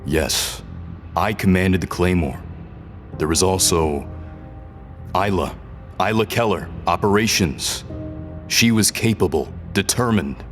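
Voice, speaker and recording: An actor playing a captive rebel captain in a science fiction audio drama, a man who is guarded, halting and uncertain beneath his defiance. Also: low-pitched, a bit bright, faint music behind. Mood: halting, reflective. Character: defiant but confused male rebel captain, held prisoner.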